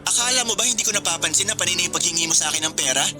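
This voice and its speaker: A man's voice: high-pitched, lively intonation, rapid-fire. A man playing an earnest, hurt and suspicious young boyfriend in a radio drama, speaking emotionally. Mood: hurt, accusing. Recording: music bed under the dialogue. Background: background music.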